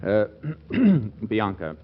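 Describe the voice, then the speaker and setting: An actor playing a nervous, evasive newlywed husband who stammers and hesitates, in a 1940s radio comedy. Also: male voice, lowish voice, very slow. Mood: flustered pleading. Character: flustered, evasive newlywed husband hiding a secret. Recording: dull, noisy old radio recording.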